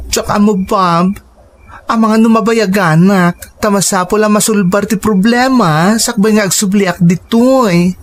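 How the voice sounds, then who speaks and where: An actor playing a busy, firm and reassuring partner in an Ilocano radio drama, talking insistently. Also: male voice, high-pitched, unhurried, a little hiss or hum.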